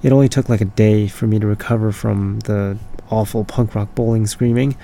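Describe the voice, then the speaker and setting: A hoarse man with a raspy, gravelly voice hosts a punk rock music program, chatting casually between tracks. His tone is dark and mellow, and he wanders a little, taking back what he just said. A faint hum of noise sits under him. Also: lowish voice, steady tone, normal speed. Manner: loose and rambling, corrects himself mid-thought. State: hoarse, voice not fully recovered from screaming. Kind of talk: casual radio-style song introductions.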